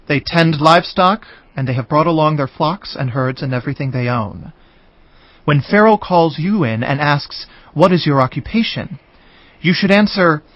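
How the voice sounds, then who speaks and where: An actor playing an assured, commanding governor with deliberate delivery in a dramatized audiobook. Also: male voice, a little high, no echo, lo-fi sound. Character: capable, commanding adult brother, governor of Egypt.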